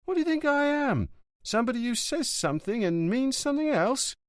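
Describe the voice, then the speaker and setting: A man voicing a blunt, touchy male friend in an audiobook, speaking gruffly and emphatically. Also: very high voice, very animated, fairly quick, no room sound, studio quality, pitched above the performer's own voice. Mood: emphatic.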